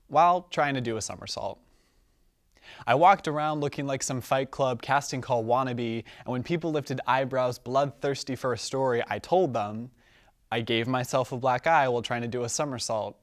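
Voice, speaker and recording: A man performing slam poetry in an emphatic, rhythmic delivery with deliberate pauses and repetition. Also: medium pitch, no echo, clean studio sound, recorded from a distance. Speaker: slam poet.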